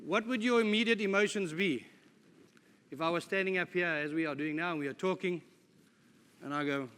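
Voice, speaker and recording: A middle-aged man talks to a conference audience in a casual, joking way, poking fun at himself. His voice is bright and forceful and sits a bit high. A long reverb from a large hall trails behind it. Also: a little fast, a little hiss or hum. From South Africa. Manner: jokey, informal, with self-deprecating asides. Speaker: conference speaker. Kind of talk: conference presentation. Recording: live talk in a reverberant hall.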